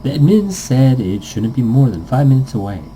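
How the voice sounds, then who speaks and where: A man's voice: mid-range voice, big pitch swings, moderate pace, dark tone, slight background noise. A man casually reading a visual novel game aloud, stumbling over lines and tossing in goofy asides.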